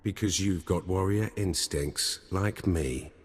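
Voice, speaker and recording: Cocky deep-voiced intelligence captain. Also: male voice, slow, slightly rough, long reverb tail, heavily acted. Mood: conspiratorial. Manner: breezy, sardonic, theatrical.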